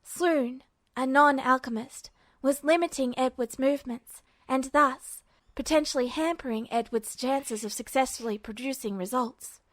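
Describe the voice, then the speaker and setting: A woman reading fanfiction aloud as podfic narration in a steady, even manner. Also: high-pitched, normal speed, no room sound. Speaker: fanfiction reader.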